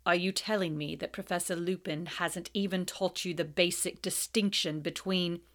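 A woman voicing a cold, sarcastic male teacher who speaks slowly, quietly and with silky menace. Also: fairly low pitch, steady tone. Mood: cold, menacing. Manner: slow, quiet, icy and sarcastic.

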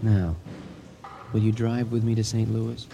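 An actor in a radio drama playing an anxious husband who tries to stay rational and reassuring. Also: male voice, fairly low pitch, little pitch movement, unhurried, creaky. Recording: slightly noisy 1980 radio drama recording.

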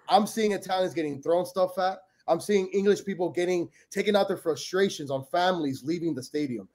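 A man from Peru in blunt, animated casual sports chat, cursing freely as a podcast panelist. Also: high voice.

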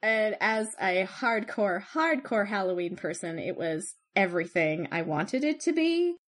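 A woman's voice: medium pitch, unhurried. Chatty woman co-hosting a podcast. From Canada. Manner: chatty, animated storytelling with laughs. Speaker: podcast co-host. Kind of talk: casual chat.

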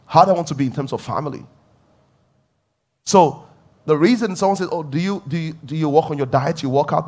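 A middle-aged male pastor preaching a conversational sermon, repeating key phrases and rising in emphatic bursts. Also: fairly high pitch.

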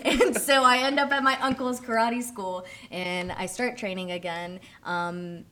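Animated young woman telling her story. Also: medium pitch, unhurried. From Miami, Florida. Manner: animated storyteller, acts out quotes with laughs. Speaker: interview guest, martial artist. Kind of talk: casual interview chat.

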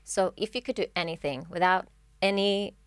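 A woman's voice: fairly low pitch, moderate pace, clear, resonant tone, dry recording. A woman life coach speaking as a podcast guest in an earnest, encouraging way, often restarting her phrases. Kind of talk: casual podcast chat.

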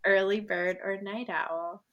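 A woman hosting a casual podcast interview, chatty and bubbly, full of fillers as she fires off quick questions. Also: mid-range voice, steady tone, dull audio. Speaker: podcast host.

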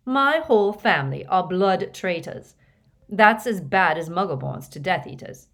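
A woman voicing a loud, blunt teenage boy who talks robustly and indignantly. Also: lowish voice, big pitch swings, normal speed, husky. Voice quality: robust, emphatic.

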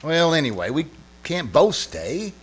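An actor playing a befuddled, stammering husband in a 1940s-style radio comedy. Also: male voice, on the high side, very animated, a little slow, slightly rough, full character voice. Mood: resigned. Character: Dick, flustered, stammering young-hearted radio-hobbyist husband.

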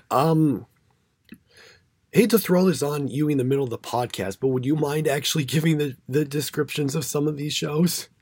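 A hoarse man co-hosting a podcast, recapping episodes in a halting, filler-heavy casual chat. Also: on the high side, dry recording. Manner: halting, many fillers and restarts. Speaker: podcast co-host.

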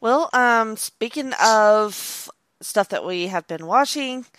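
A woman's voice: on the high side, lively intonation, takes it slowly, fairly bright tone, no echo. A woman in her thirties chatting casually as a podcast co-host. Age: 30s. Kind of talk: casual chat.

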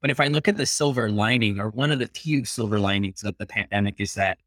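A man's voice: lowish voice, quick pace, fairly bright tone, dry recording, studio quality. A man in his thirties, born in Peru, talking earnestly as a guest in a casual interview and sometimes slipping into Spanish. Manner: reflective and earnest, slips into Spanish.

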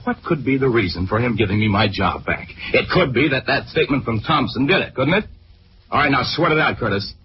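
A brash young newspaperman, played by a male actor, talks in slangy, taunting style with a middle-pitched voice. The 1940s radio drama recording is dull and clearly noisy. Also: lightly acted.

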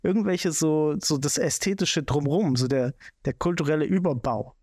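A man's voice: fairly high pitch, normal speed, mellow, husky, dry recording, studio quality. A man with a Swabian German accent, hosting a casual podcast talk and rambling with many restarts. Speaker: podcast host.

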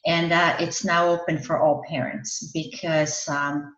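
Woman from Austria, very low voice, earnest explaining tone, echoey dull lo-fi recording. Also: flat delivery, measured pace, fairly bright tone. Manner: earnest, explanatory, laughs between points. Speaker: podcast guest, parenting coach. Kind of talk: interview answers. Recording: roomy, dull lo-fi sound.

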